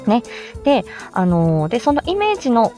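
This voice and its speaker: A woman's voice: fairly high pitch, very animated, normal speed, dark tone, soft background music. A woman presenting an informal instructional livestream in a chatty, explanatory way. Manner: chatty, explanatory, greets listeners by name. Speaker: presenter teaching how to make voice samples; speech therapist and amateur voice actor.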